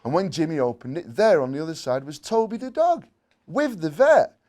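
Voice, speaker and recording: A man reading a children's story aloud in an expressive way, with playful asides. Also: on the high side, normal speed. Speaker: story reader.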